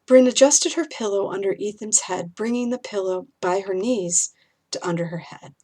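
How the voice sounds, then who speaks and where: A woman speaking as an author, gently instructive, explaining and reading aloud in a measured storytelling style. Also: medium pitch. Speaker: author and speaker on pet loss.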